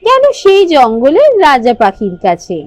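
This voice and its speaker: A woman's voice: high voice, wildly swooping pitch, moderate pace, dry recording. A woman narrating a children's fairy tale cartoon in a scripted storytelling style.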